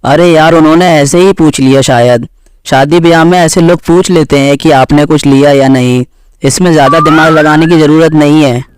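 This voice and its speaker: A man's voice: fairly high pitch, fairly even intonation, normal speed, smooth, lightly acted. A man voicing an obliging, easygoing young husband in a cartoon family story, polite and placating.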